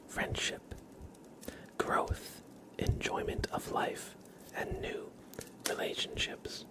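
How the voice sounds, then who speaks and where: Male ASMR host: low, hushed whisper, very slow pacing, gentle direct address. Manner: gentle, unhurried delivery addressing listeners directly.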